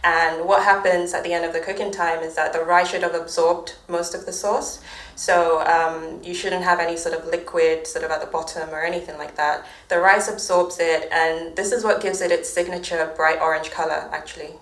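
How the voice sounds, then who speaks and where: Slightly low woman, explanatory podcast guest, echoey room. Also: level tone, normal speed. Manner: explanatory, warm, fillers like sort of. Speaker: chef guest. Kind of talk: casual interview explanation.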